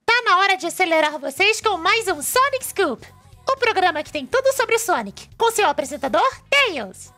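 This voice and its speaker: A woman's voice: very high-pitched, sing-song, moderate pace, bright, forceful tone, soft background music, heavily acted. A woman voicing a cheerful, brainy young male fox who presents a cartoon web show, eagerly explaining things with a Brazilian accent. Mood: upbeat.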